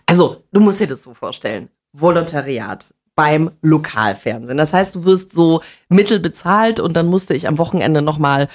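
A woman in her forties with a somewhat low voice, chatting casually as an interview guest. She tells stories with lots of laughter and filler sounds, and a slight Berlin colour runs through her standard German. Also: normal speed, hardly any pauses. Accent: none, standard German with slight Berlin touches. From Bavaria. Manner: lively storyteller, laughs often, many fillers. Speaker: TV presenter guest.

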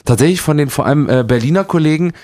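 A man in his forties from eastern Germany speaking standard German, a wordy, fast-talking interview guest who often interrupts himself while chatting humorously. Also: fairly high pitch, a little mellow. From eastern Germany, Thuringia and Saxony. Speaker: stage performer, guest. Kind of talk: casual humorous interview chat.

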